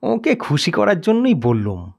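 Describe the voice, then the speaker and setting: A male audio story narrator with a fairly high voice. He reads very slowly and deliberately, with dramatic, widely varied intonation that suits scripted storytelling. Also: wildly swooping pitch, creaky, a little mellow, dull audio.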